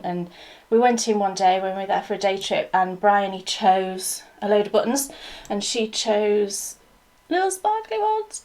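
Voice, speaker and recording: A woman's voice: mid-range voice, darkish tone. A woman co-hosting a casual chat show at home, telling stories in a chatty, easygoing way. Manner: chatty, storytelling, laughs easily. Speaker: co-host.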